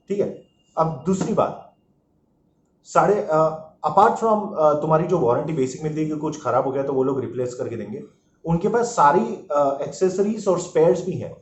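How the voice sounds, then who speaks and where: Higher-voiced man, echoey casual vlog. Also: a little mellow. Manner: informal, mixes English phrases into explanations. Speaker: motorcycle vlogger reviewing gear. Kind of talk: casual product review to camera. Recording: echoey room, dull muffled sound, faint noise.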